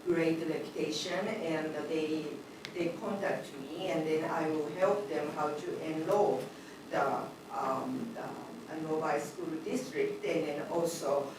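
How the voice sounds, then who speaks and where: A woman's voice: low voice, steady tone, background noise. Echoey, distant woman. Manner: halting, many fillers, loose grammar. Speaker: community liaison. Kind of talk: speaking at a public meeting. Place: large echoey meeting room.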